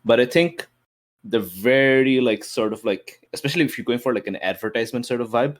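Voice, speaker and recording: A man's voice: mid-range voice, brisk. A man in casual improvised worldbuilding talk as a game player, tentatively thinking aloud.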